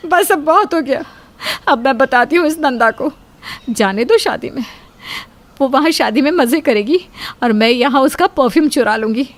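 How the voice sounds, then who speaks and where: A woman's voice: high-pitched, animated, normal speed. A woman voicing a jealous, scheming elder sister-in-law in a Hindi cartoon, spitefully muttering her plots. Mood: spiteful, gloating.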